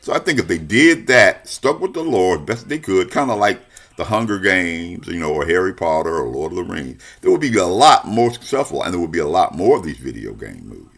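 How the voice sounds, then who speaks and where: A man's voice: deep voice, big pitch swings, fairly quick, a bit bright. A man hosting a review show, giving casual commentary straight to the audience and leaning emphatically on his key points.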